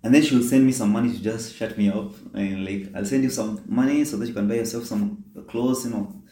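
Twenties man from Kenya, deep warm tone, hesitant storyteller, roomy echo. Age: 20s. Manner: halting, many fillers and restarts. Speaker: migrant telling his life story. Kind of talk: personal storytelling.

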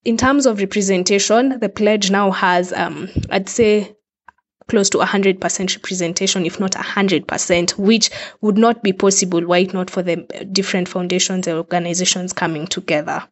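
A young woman from Kenya, a nutritionist, speaks in a relaxed interview with a few ums. Her pitch sits in the middle range. The recording is a little dull and lo-fi. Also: normal speed. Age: 20s. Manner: casual, with fillers. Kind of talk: interview talk.

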